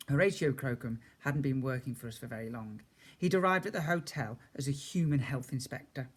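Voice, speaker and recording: A man's voice: fairly high pitch, fairly bright tone. Man reading a children's storybook expressively. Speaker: teacher reading to young children.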